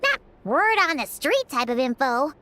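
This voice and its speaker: A woman's voice: high voice, big pitch swings, moderate pace, sharp tone, dry recording, heavily acted. A woman voicing a small, chirpy, talkative fairy companion with a childlike manner in a video game. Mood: pondering. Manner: refers to herself by name in third person.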